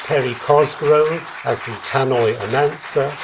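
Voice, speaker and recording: A man's voice: mid-range voice, steady tone, unhurried, crowd noise, noisy background. A man giving a scripted announcement as the announcer of an audio drama. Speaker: announcer reading credits.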